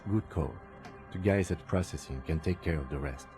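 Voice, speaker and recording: An actor playing a calm, pragmatic police detective in a video game, speaking in a dry, measured and formal way. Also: male voice, rumbling low, soft background music. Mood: matter-of-fact. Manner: measured, formal, matter-of-fact. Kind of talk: scripted video game dialogue.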